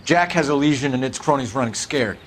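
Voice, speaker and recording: An actor playing a calm, methodical detective in a video game cutscene, speaking in a level, steady way. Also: male voice, mid-range voice, fairly quick, slight background noise, natural voice.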